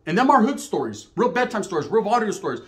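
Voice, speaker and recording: A man's voice: sits high, very animated, fast talker. A middle-aged man with a Chicano English accent tells stories casually, acting out dialogue in profane slang mixed with Spanish phrases. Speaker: storyteller.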